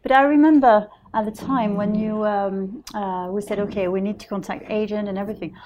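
A woman's voice: medium pitch, steady tone, fairly quick, noisy background. A woman with a French accent, a guest on an interview, speaking hesitantly with many restarts. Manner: hesitant, many restarts and fillers, laughs easily. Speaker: guest, property investor. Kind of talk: casual interview chat.

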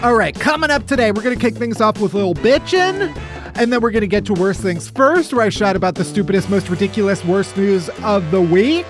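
A man's voice: sits high, lively intonation, brisk, over music. A man hosting a comedy podcast and chatting in a theatrical, sweary way. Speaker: podcast host and stand-up comedian. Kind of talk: comedic chat, ranting.

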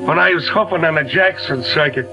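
A man's voice: fairly high pitch, a little fast, close to an everyday voice, low-quality recording. An actor playing a breezy, slangy male tap dancer in a 1940s radio drama, rambling in showbiz talk. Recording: 1940s radio broadcast with music.

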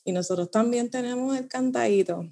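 A woman's voice: a little high, a little fast, creaky, mellow. A woman from Puerto Rico with a Puerto Rican accent, hosting a podcast chat in a candid way, often laughing. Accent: Puerto Rican Spanish. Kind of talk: podcast conversation.

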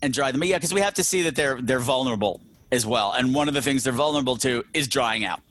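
A man hosting a film podcast, talking through plot points in a relaxed way and laughing easily. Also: mid-range voice, fast talker. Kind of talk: casual chat reviewing a movie.

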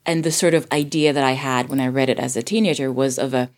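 Woman with a low voice, informal podcast-style film chat, thinks out loud and rephrases often. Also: fairly even intonation, fast. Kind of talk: casual film discussion.

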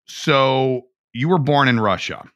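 A man's voice: mid-range voice, lively intonation, slow pace, a bit bright, dry recording, studio quality. A man hosting a podcast, asking questions in a playful, joking manner. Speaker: podcast host. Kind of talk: casual interview.

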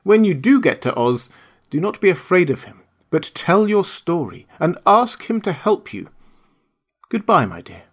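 A man voicing a kind little old female witch in a storybook reading, speaking gently and solemnly. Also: high voice, big pitch swings, a little slow, pitched above the performer's own voice, full character voice. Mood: gentle.

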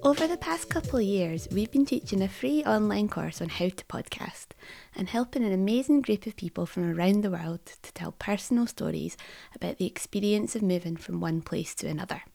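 A woman hosting a podcast, giving a measured, scripted introduction. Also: medium pitch, lively intonation, gravelly, dark tone, faint music behind. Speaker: podcast host.

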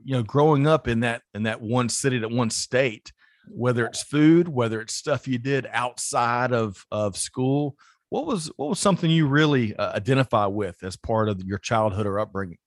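A man's voice: medium pitch, expressive, normal speed, no room sound. A man hosts a casual interview, upbeat and chatty. He restarts his phrases often as he talks. His voice is dry and close to the mic. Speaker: podcast host.

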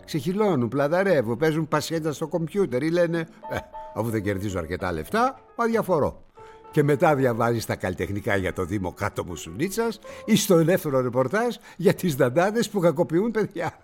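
Older male publisher for radio drama: cynical, patronizing, very animated pitch, music behind. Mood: lecturing. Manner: theatrical, patronizing, with musing pauses. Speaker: actor.

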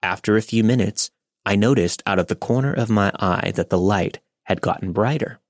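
A man with a lowish voice reads a listener's story in a steady, measured narration. The sound is close and very dry, with no room around it. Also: no room sound. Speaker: podcast host reading a listener story. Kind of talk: scripted narration.

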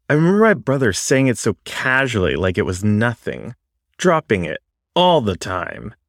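A man reading a first-person story aloud as an audiobook narrator, in a reflective, confessional way with deliberate pauses. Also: lowish voice, no echo, clean studio sound. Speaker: story reader.

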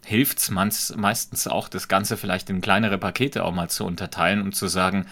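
A man with a light Franconian accent, a podcast guest talking in a reflective, measured way and explaining with examples. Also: low-pitched, level tone, fairly bright tone. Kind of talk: conversational podcast talk.

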